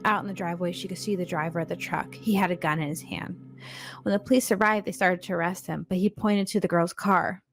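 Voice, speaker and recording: A woman's voice: fairly low pitch, fairly even intonation, fast, faint music behind. A woman co-hosting a read-along, telling a scary short story and addressing listeners informally and directly. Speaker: podcast co-host and story reader.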